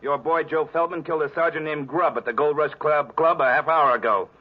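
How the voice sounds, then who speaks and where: A man's voice: fairly high pitch, steady tone, fairly quick, lightly acted. A man playing a curt, needling police detective. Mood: menacing. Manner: curt, sardonic, taunting. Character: gruff, needling male police detective, suspicious and menacing. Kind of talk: 1940s American radio noir acting. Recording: dull old radio recording.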